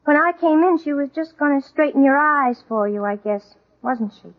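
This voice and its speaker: A woman's voice: high voice, animated, moderate pace. An actress playing a timid, jealous, hurt teenage girl in 1940s radio comedy style. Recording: muffled, lo-fi old radio broadcast.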